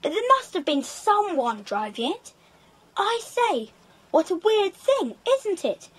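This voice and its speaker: Very high British schoolboy adventurer. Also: female voice, sing-song, slow pace, exaggerated voice, poor audio. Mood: puzzled, excited. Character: eager English schoolboy adventurer, chatty and good-natured. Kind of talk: children's audio drama acting.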